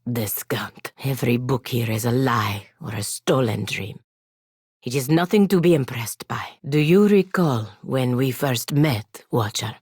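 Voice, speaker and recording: A woman's voice: a bass voice, lively intonation, darkish tone, husky, dry recording, heavily acted. A woman playing a stern, proud feathered warrior in a fantasy video game, speaking formally and measuredly with dry sarcasm. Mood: dry disdain. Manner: formal, measured, dryly sardonic, avoids contractions. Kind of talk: scripted video game companion voice lines.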